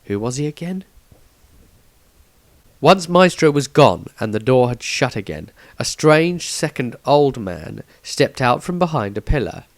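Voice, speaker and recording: Animated man narrating, dry close sound. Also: mid-range voice, unhurried, dry recording. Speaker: author and narrator. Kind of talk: dramatic scripted fantasy story narration.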